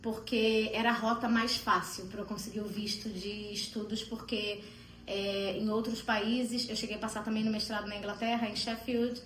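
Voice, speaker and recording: A woman's voice: medium pitch, little pitch movement, normal speed, roomy sound, poor audio. A woman from Brazil with a Brazilian Portuguese accent, telling her story in a chatty, rambling way with fillers. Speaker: interviewee. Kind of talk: casual interview storytelling.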